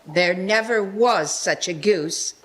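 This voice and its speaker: A woman's voice: fairly low pitch, slow, noisy background. A woman playing a busy, loving, outspoken Victorian mother in a live radio play. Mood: fond.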